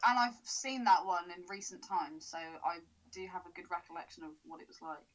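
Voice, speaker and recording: A woman co-hosting a podcast in casual chat, sarcastic and quick to swear, laughing often. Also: fairly low pitch, rough recording. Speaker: podcast co-host.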